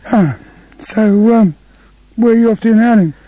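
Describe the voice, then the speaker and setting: An actor playing a lonely, gentle daddy bear in a comic radio play, husky and hesitant, with a plaintive slowness. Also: male voice, high-pitched, no echo, low-quality recording. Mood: forlorn.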